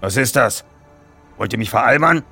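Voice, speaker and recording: A man voicing a gruff, irritable, heavyset chief editor in an audiobook, blustering, brusque and dismissive. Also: mid-range voice, expressive, moderate pace, pushed and bright, soft background music, exaggerated voice. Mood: baffled, irritated.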